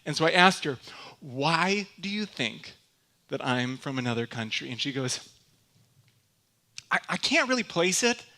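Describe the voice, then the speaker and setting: A young man from Michigan with a Michigan accent, preaching in a chatty, self-deprecating way. His voice sits a little high and sounds bright and forward. He tells personal stories and checks in with the congregation as he goes. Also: sing-song, a little slow, long pauses. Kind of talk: conversational sermon with personal stories.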